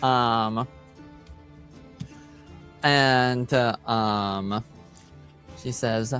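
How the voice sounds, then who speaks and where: A man improvising narration as game master of a tabletop roleplaying game, halting as he searches for words. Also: mid-range voice, fairly even intonation, a bit bright, soft background music.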